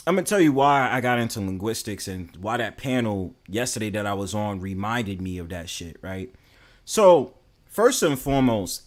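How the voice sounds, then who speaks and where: A young man with a New York accent, talking casually and bluntly to his stream, full of fillers and swearing. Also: fairly low pitch. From New York City. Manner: rambling, heavy fillers, blunt and profane.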